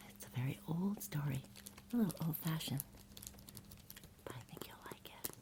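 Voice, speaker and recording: A woman speaking in a soft, soothing whisper in an ASMR bedtime roleplay, gentle and unhurried as if talking to a child. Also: sits low, gravelly, background noise. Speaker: ASMR performer playing a caregiver at bedtime.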